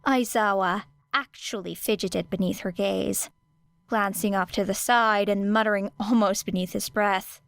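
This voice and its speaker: Female audiobook narrator, mid-range pitch, clear smooth tone, dry close recording. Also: steady tone, a little slow, a bit bright, no room sound. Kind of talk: scripted story narration.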